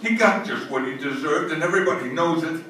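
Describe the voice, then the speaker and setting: A desperate male convict played by an actor with a piercingly bright, fairly high voice, stammering and impassioned. It is old-time radio acting, caught in a very echoey room. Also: fairly quick. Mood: pleading, defensive. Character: bitter, desperate male condemned murderer. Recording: very echoey, distant stage recording, faint noise.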